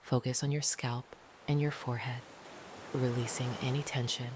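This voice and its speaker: A meditation narrator speaks in a soft, breathy voice that often slips toward a whisper. The delivery is calm, gentle and unhurried, with long pauses between phrases. Faint noisy ambience sits behind the voice. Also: medium pitch. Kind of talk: slow, soothing guided meditation narration.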